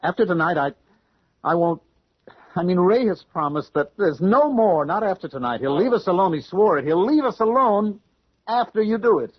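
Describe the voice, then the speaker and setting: A man's voice: high-pitched, animated, moderate pace, slightly rough, no echo. An actor playing a servile, anxious young man in a radio drama, pleading and insistent. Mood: pleading, fixated. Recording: dull vintage radio recording.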